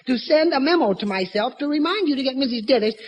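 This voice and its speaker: A man playing a flustered, bumbling middle-aged family man in a 1940s radio comedy, hesitant, stammering and excitable. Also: very high voice, quick pace. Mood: eager. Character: flustered, bumbling suburban husband and father, office employee. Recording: noisy old 1940s radio recording, audience.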